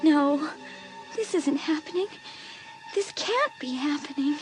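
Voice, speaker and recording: An actress playing an excitable, frightened teenage girl with an urgent, emotional delivery in a dramatised audiobook. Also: takes it slowly, over music, poor audio. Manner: breathless, urgent, highly emotional.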